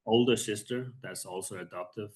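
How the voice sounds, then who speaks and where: Danish-accented man, calm and low. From Denmark. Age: 30s. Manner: calm, soft-spoken, halting with many pauses. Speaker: guest. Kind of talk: casual interview.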